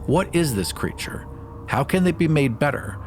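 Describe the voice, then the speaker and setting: A man's voice: a little high, very animated, moderate pace. A man speaking as host and player on a tabletop gaming podcast. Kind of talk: casual podcast talk. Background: music.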